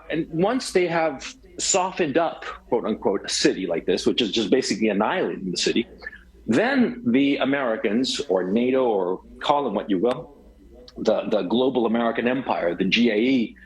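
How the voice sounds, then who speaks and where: A man's voice: medium pitch, low-quality recording. A man from Chile, an interview guest, speaking over a cell phone in an emphatic, ranting way with frequent restarts. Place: city in Eastern Europe.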